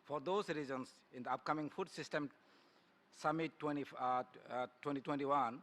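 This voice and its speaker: A man with a Bangladeshi accent reads a prepared formal statement as a spokesperson at a conference plenary, moving haltingly from phrase to phrase. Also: fairly high pitch, fairly even intonation, fairly bright tone. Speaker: civil society spokesperson. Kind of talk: formal prepared speech read aloud at a plenary.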